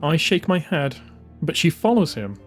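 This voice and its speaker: A man's voice: a little high, big pitch swings, moderate pace. A man reading scripted, dramatised narration as an audiobook narrator. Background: music.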